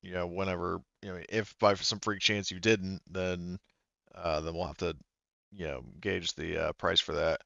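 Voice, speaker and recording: A man running a tabletop game, explaining rules in a relaxed, easygoing way. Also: deep voice, normal speed, vocal fry, no echo. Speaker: game master. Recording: dull lo-fi mic.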